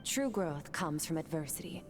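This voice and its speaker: An actress voicing a cool, aloof swordmaster mentor in a game, composed, measured and cryptic. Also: female voice, sits low, expressive, music in the background.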